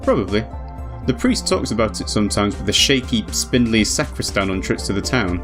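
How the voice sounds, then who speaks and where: A man's voice: on the low side, animated, a little fast, over music, pitched below the performer's own voice, lightly acted. A man voicing a jovial, world-weary boatman, a devout drinker, in a visual novel. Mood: wry.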